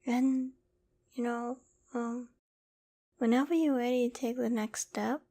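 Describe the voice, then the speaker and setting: Woman acting a shy, slow-talking loving girlfriend, higher voice. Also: fairly even intonation. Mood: tender whispering. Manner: tender, hesitant, soft-spoken with stammers. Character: shy, loving, easily flustered young girlfriend whispering at bedtime. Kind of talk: intimate ASMR girlfriend roleplay.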